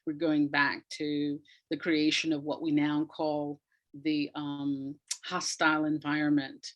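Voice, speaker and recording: A woman's voice: low voice, flat delivery, a little slow, lo-fi sound. A woman speaking conversationally as an interview guest, an educator who repeats phrases for emphasis.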